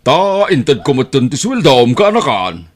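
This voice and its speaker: Man acting a lively, bossy Ilocano uncle. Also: medium pitch, unhurried. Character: easygoing, bossy middle-aged uncle ordering others around. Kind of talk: Ilocano audio drama acting.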